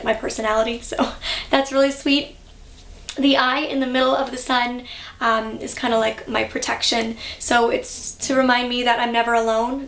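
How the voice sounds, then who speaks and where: A young woman from Canada talks to camera in a relaxed, reflective way, pausing on ums and likes. Her voice sits a little high. The room around her rings with noticeable echo. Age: young adult. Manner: chatty, reflective, many fillers. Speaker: vlogger. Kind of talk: casual vlog talk.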